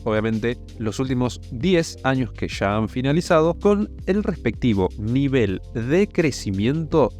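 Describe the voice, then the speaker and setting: A man with an Argentine accent, hoarse from a sore throat, walking through investment figures step by step as host of a finance channel. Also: lowish voice, darkish tone. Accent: Argentine Spanish. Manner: plainspoken, walks through numbers step by step. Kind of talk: explaining investments over spreadsheets.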